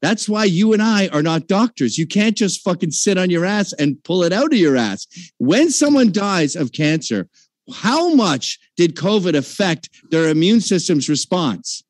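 A man's voice: high-pitched, very animated, unhurried, no room sound. A man arguing in a heated debate, combative and mocking, with frequent profanity.

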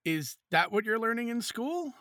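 Bright, animated dad acted by a woman. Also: fairly high pitch, moderate pace, slight background noise. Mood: teasing. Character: punctual, good-natured middle-aged father, gently teasing. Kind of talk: audio drama acting.

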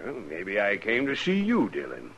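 Menacing, low-voiced Old West buffalo hunter. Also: male voice, huge pitch swings, normal speed, fairly bright tone, dull audio, slight background noise. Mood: sneering, bitter. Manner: menacing, sneering. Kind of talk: 1950s radio western acting.

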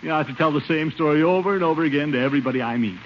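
An actor playing a pompous, jovial, chuckling middle-aged uncle in a 1950s radio comedy. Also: male voice, on the high side, racing, close to an everyday voice. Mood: self-satisfied, jovial. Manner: blustering, chuckling, boastful. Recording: muffled, noisy old radio recording.

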